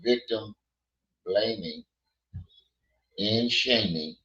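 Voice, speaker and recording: Hoarse, blunt man livestreaming. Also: fairly low pitch, very slow, bright, forceful tone, highs cut off. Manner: blunt, laughs often, greets chat viewers. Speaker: livestream host. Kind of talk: casual livestream commentary.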